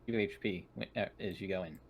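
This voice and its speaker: Casting: male tabletop player, lowish voice, casual but directive, rules-explainer delivery. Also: a little fast, slight background noise. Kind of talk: casual game-table chat.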